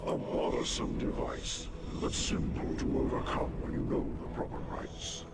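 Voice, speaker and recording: An actor playing a gloating ancient daemon in a possessed body, taunting and mocking in a breathy, theatrical game-villain voice. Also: male voice, normal speed, natural voice. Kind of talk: video game villain acting. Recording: loud music behind the voice.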